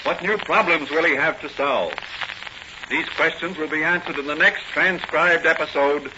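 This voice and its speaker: Casting: man, old-time radio narrator, somewhat high, dramatic formal read, dull hissy transcription sound. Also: moderate pace. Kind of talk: 1940s radio scripted narration. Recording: scratchy, muffled 1940s radio recording.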